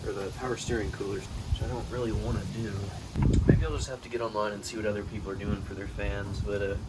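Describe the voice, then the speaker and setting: Low-voiced young man vlogging his car build. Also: fairly even intonation, fast talker, heavy background noise. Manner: rambling, joking, profane. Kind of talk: casual DIY car build vlog. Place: outdoor driveway and garage.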